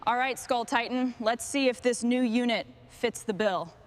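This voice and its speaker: Higher-voiced woman giving short workshop instructions. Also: normal speed, a little hiss or hum. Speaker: team boss in a truck restoration workshop.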